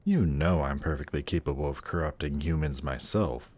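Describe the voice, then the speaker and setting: A man's voice: a bass voice, moderate pace, a little mellow. A man playing a suave, haughty demon prince in a fantasy audio drama, speaking in a polished, courtly and condescending manner. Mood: coolly offended.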